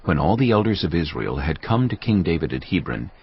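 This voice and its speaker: A man with a gravelly, husky voice giving solemn, measured scripted narration of a dramatized Bible. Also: deep voice, sing-song, dry recording, lo-fi sound.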